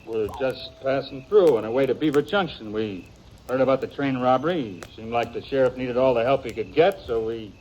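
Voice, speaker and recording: A man's voice: mid-range voice, brisk, a little mellow, lightly acted. Guarded, prickly western outlaw, played by a man. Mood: guarded, irritated. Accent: American. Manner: terse, defensive. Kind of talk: 1950s radio western acting. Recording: muffled, noisy 1950s radio recording.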